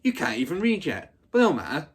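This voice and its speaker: A man's voice: fairly high pitch, huge pitch swings, a little fast, slightly rough, pitched above the performer's own voice, full character voice. A man voicing a rugged ten-year-old bully girl who is scornful, boastful and dramatic in a storybook reading. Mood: mocking. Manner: scornful, relishing grisly details.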